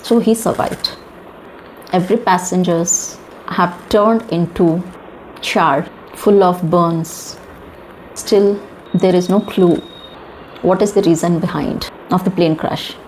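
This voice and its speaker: A woman with an Indian accent giving informal news commentary in halting, emphatic short phrases, often posing rhetorical questions. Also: lowish voice, steady tone, darkish tone, low-quality recording. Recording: clear background noise.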